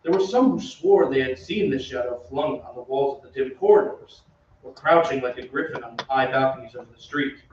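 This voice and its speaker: A man's voice: mid-range voice, fairly quick, darkish tone, lightly acted. A man playing an opera singer who recounts eerie events as a grave, suspenseful radio-drama narrator. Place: theatre stage. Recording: echoey stage from far off, dull, noisy.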